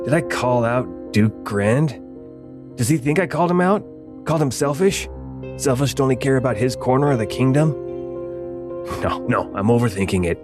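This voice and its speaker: A man's voice: fairly low pitch, natural voice. A man voicing an anxious young noble in a fretful, self-questioning inner monologue for a tabletop game podcast. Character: anxious young male noble overthinking his choices.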